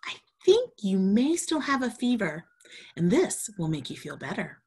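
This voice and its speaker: A woman voicing a caring, attentive mother looking after a sick child, gentle and reassuring, in a children's storybook. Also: mid-range voice, very animated, normal speed, breathy edge. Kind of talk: children's storybook read-aloud.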